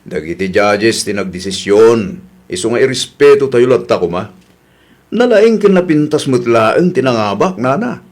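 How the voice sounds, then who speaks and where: A man's voice: mid-range voice, lively intonation, normal speed, a little mellow, close to an everyday voice. Man acting as firm Ilocano village councilman. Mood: admonishing. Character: village councilman, patient but firmly admonishing complainers. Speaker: radio drama actor. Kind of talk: Ilocano comedy radio drama acting.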